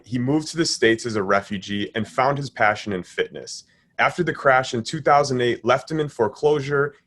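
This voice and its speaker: A man in his thirties hosting a podcast interview over a video call, friendly and upbeat in a casual way. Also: medium pitch. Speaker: host. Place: indoor living room, video call.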